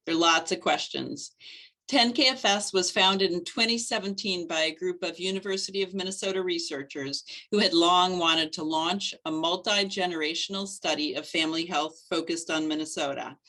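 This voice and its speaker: A middle-aged woman, a study coordinator, giving a clear, steady scripted presentation on a webcam from her living room. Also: on the low side.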